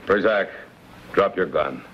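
A man's voice: sits low, expressive, slow. A man playing a hot-tempered French trapper villain in an old western, threatening and theatrical. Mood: gruff, ordering. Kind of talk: 1950s western screen acting. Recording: dull, noisy old film soundtrack.

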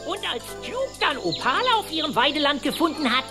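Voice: sits high, big pitch swings, brisk. An actor playing a plain-spoken ranch hand in a German cartoon radio play. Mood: relieved. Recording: music behind.

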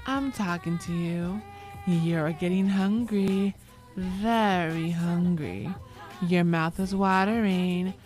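A woman's voice: fairly low pitch, a little mellow. A woman delivering scripted commercial voice-over narration, as on an advertising reel or radio spot. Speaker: voice-over artist and radio host. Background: music bed behind the voice.

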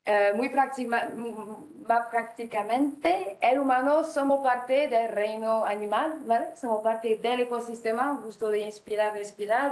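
A woman's voice: on the high side, darkish tone. A woman from France with a French accent, giving a presentation at a panel, hesitant and full of fillers. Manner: hesitant, many fillers, non-native phrasing. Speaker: speaker at a panel. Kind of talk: presentation talk. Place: conference hall, standing before a screen. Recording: echoey conference hall.

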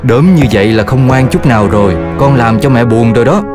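A man playing a patient, gently lecturing father dog in a children's cartoon, with a measured, instructive delivery. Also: mid-range voice, animated, lightly acted. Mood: gently admonishing. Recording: loud music behind the voice.

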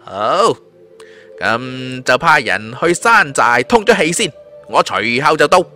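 A man's voice: medium pitch, very animated, takes it slowly, bright, forceful tone, soft background music, exaggerated voice. A man voicing a confident, shrewd young cultivator in a fantasy audiobook, composed and persuasive. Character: confident, shrewd young male cultivator recruiting bandit followers. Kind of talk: audiobook character voice.

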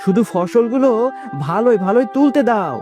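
A man's voice: very high-pitched, expressive, moderate pace, over music. A man playing a poor, hardworking village farmer husband in a cartoon, with a folksy, affectionate way of talking. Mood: cheerful, hopeful. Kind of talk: cartoon story dialogue.

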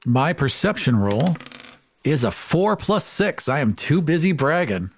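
A man's voice: on the high side, very animated, measured pace, a little hiss or hum. A man playing a tabletop role-playing game, speaking as a player about his character. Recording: music bed under the voice. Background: background music.

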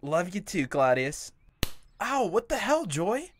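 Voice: mid-range voice, big pitch swings, slow, slight background noise. A voice actor playing a goofy, mischievous schoolboy who jokes around in an amateur audio drama. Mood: playful. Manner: joking, playful, laughs between phrases.

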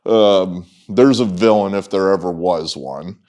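A middle-aged man giving opinionated, off-the-cuff sports commentary in an emphatic, halting and at times sarcastic way. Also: low-pitched, a little mellow. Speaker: sports vlogger, host. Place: indoors, small wood-walled barn room with whiteboards.

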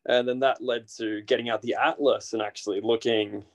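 A man in his thirties with an Australian accent, a guest talking casually over a video call from a small room, relaxed and full of fillers. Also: medium pitch, brisk. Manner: relaxed, rambling with frequent fillers and restarts. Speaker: guest, naturalist guide. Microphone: video call, built-in device mic.

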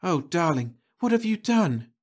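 A man's voice: high voice, very animated, a little slow, a little mellow, voice pushed up, exaggerated voice. A man voicing a dreamy young woman who speaks breathily in amazement. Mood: breathless.

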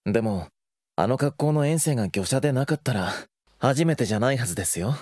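A man playing a gentle, courteous young attendant in an anime, speaking softly and politely. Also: mid-range voice, animated, brisk, lightly acted. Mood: gentle courtesy. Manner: soft, polite. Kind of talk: anime dramatic acting. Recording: music behind the voice.

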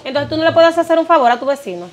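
A woman acting as a neighbor upset over being charged for a loan, talking with quick, aggrieved animation. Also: high-pitched, expressive.

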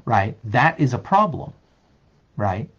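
A man's voice: medium pitch, sing-song, slow, husky, dull audio. An older man, a rabbi, teaching an interactive online class, speaking reflectively with many restarts and fillers. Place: office with desk. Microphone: webcam on video call.

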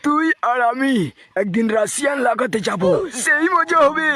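A man's voice: very high voice, expressive, moderate pace, exaggerated voice. A man voicing a crude, whiny young cartoon slacker, foul-mouthed, melodramatic and exclamatory in a comic dub. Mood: boastful. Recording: loud music under the voice. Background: loud music.

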